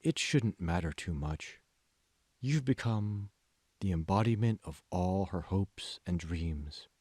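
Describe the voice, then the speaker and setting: A man voicing a smug, manipulative AI assistant in an audiobook, speaking slowly with cool, condescending control. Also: sits low, lively intonation, a little mellow, breathy edge, dry recording, studio quality, pitched below the performer's own voice, exaggerated voice. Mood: instructing. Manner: slow, condescending, coolly controlling. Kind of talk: audiobook character voice.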